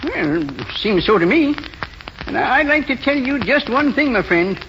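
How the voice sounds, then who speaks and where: A man's voice: very high voice, expressive, normal speed. A man playing a stubborn, skeptical old grandpa in 1940s radio drama style. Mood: crusty, skeptical. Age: older. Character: stubborn old grandpa who scorns romance. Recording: noisy, dull 1940s radio recording with reverb.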